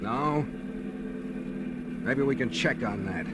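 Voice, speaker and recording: An actor playing a cool, wry, commanding bank robber in a 1940s radio thriller, speaking tersely. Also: male voice, lively intonation, takes it slowly. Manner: terse, sardonic, authoritative. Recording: noisy old radio recording, engine rumble.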